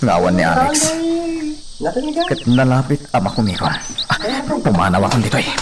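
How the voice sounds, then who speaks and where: A man's voice: on the low side, wildly swooping pitch, moderate pace, over a noisy crowd. A man acting a scheming, menacing villain in an Ilocano radio drama. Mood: brooding, suspicious.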